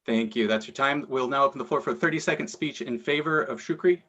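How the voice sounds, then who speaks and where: A man chairing an online political meeting, calling briskly for short speeches for and against candidates. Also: mid-range voice, a bit bright, dull audio. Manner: brisk procedural calls for speeches.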